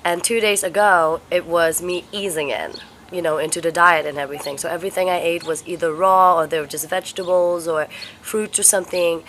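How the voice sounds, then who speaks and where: A young woman vlogging casually at home, stuffed up and congested, talking in a slow, halting, tired way. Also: fairly low pitch, fairly even intonation, fairly bright tone, slight background noise. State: congested, sniffly with a cold. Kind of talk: casual vlog chat. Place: home, indoors.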